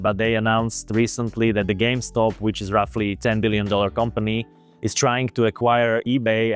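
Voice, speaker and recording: A man with a Slovak accent, a native Slovak speaker, hosting an investment video in halting English. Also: on the low side. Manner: halting, many fillers and restarts. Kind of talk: casual video commentary.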